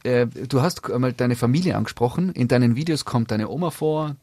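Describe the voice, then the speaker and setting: Austrian male host, a little husky, playful questions, dry recording. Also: mid-range voice, brisk, dark tone, no room sound. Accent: Austrian German. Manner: joking, teasing questions. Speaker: interviewer, podcast host. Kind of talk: casual interview.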